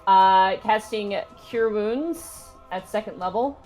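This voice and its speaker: A woman's voice: mid-range voice, slow pace, a little mellow. A woman chatting casually as a player in a tabletop game, describing what her character does. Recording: music playing behind the voice.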